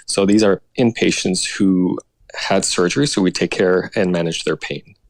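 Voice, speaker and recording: A man from Canada, a guest doctor, explaining his work in a measured way over a remote call. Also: low-pitched, no room sound. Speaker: guest, anesthesiologist.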